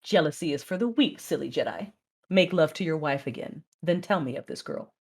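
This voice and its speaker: Woman playing a bossy seductive sith lady for an audio drama, cool and ambitious, super low voice. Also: animated, fairly quick, a little mellow, husky, full character voice. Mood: teasing, commanding. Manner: imperious, seductive. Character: commanding, coy female Sith lord, cool and ambitious. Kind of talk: sci-fi audio drama acting.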